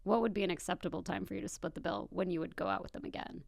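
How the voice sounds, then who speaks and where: Young American female, teasing and giggly, heavy vocal fry, informal friend-to-friend banter. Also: lowish voice, fairly even intonation, very fast, dry recording. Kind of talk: casual chat between friends.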